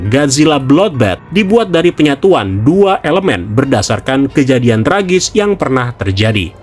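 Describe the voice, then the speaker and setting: A man narrates a semi-casual scripted explainer, speaking directly to viewers in a conversational way. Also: mid-range voice. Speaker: video narrator. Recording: music behind the voice. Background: background music.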